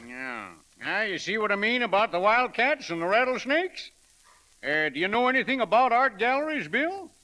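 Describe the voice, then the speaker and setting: High-voiced folksy Western husband. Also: male voice, big pitch swings, moderate pace, bright, forceful tone, exaggerated voice. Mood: grumbling. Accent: American Western. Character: folksy, henpecked American Western husband, blunt and friendly. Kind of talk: 1940s radio comedy acting. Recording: crackly old radio broadcast recording.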